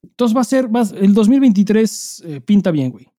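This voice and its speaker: A man's voice: sits high, sing-song, brisk, warm tone, dry recording, studio quality. A Mexican man co-hosting a podcast in casual chat, swearing freely and speaking with emphasis in heavy slang. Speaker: podcast co-host.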